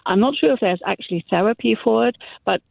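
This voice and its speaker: A woman with a German accent speaks as a caller on a tinny phone line. Her voice has a gravelly, creaky edge, and she explains her points at a measured pace. The sound is dry, with no room around it. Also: medium pitch, animated, no room sound. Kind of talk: phone-in radio chat.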